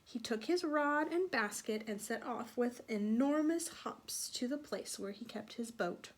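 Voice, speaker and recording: A woman reading a picture book aloud for children, slowly and deliberately, with sing-song emphasis. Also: on the high side, animated. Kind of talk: read-aloud children's storytelling.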